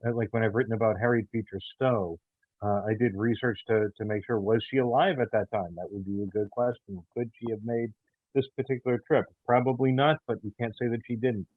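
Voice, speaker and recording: A man being interviewed as a writer, talking casually in halting, thoughtful phrases over a narrow, phone-like connection. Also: mid-range voice, fairly even intonation, dry recording. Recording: muffled narrow phone-like line.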